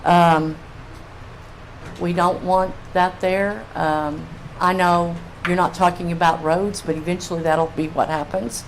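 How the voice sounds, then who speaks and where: A woman's voice: lowish voice, steady tone, measured pace, rough recording. An older woman giving informal public testimony as a resident. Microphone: public comment microphone. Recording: faint room noise on meeting mic.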